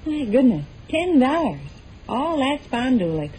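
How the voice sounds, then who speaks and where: An actress playing a thrifty, exasperated wife who scolds with folksy sarcasm in a 1940s radio comedy. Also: female voice, sits high, very animated, measured pace, darkish tone. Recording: noisy old 1940s radio broadcast.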